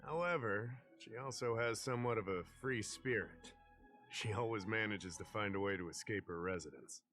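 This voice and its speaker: A man playing a courteous, knowledgeable fantasy official in a video game, explaining things in a measured way. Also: on the low side, fairly bright tone, faint music behind, natural voice. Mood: patient, explanatory.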